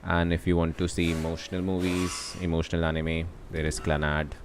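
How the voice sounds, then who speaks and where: A man's voice: sits low, little pitch movement, a little fast, noisy background. A young man with an Indian accent chatting casually and commenting as he livestreams a game. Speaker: game streamer. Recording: voice over game music.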